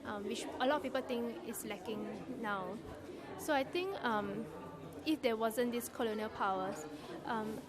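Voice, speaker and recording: Young woman student, thoughtful, crowd chatter behind. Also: fairly high pitch. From Singapore. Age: young adult. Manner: thoughtful, many "um" pauses. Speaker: university student interviewee. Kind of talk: campus interview answers.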